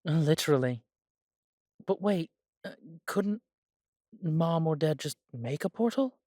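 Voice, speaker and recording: A man playing a warm, humble elf brother in a scripted fantasy audio roleplay, speaking in measured, slightly formal phrases. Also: on the high side, animated, dry recording, studio quality. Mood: easygoing. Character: warm, humble elf brother without magic, devoted to family.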